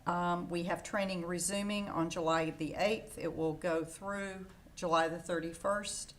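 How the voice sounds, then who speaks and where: A woman's voice: on the low side, fairly even intonation, measured pace. A woman giving a work briefing as a program director on a conference call. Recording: conference audio with clear background noise.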